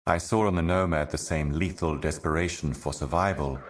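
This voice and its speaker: A man voicing an ancient, weary otherworldly envoy in a video game, delivering cryptic poetic monologues in a grave, brooding, measured manner. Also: extremely low pitch, a little hiss or hum. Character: ancient solemn otherworldly envoy, weary eternal servant of cosmic darkness.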